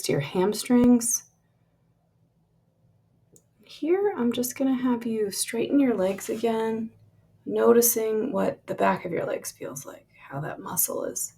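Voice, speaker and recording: Slow, very creaky woman guiding meditation. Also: mid-range voice, a little hiss or hum. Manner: soft, lingering phrasing, trailing into breathy releases. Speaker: meditation guide. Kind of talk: guided relaxation meditation, slow spoken instructions.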